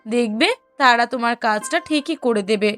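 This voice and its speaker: Casting: woman to play a sharp-tongued young mother for a moral cartoon, high voice, expressive and emphatic. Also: moderate pace, faint music behind, natural voice. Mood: callous, dismissive. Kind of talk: Bengali moral-story cartoon voice acting.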